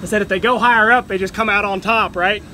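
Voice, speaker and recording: A man's voice: sits high, fairly even intonation, fast talker, bright, forceful tone. A middle-aged beekeeper, chatting casually to viewers while he works outdoors at an airport, recorded on a phone camera set nearby. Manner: chatty, talks to viewers as "y'all". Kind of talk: casual vlog narration while working. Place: outdoors at an airport, near a truck. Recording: outdoor airport noise behind.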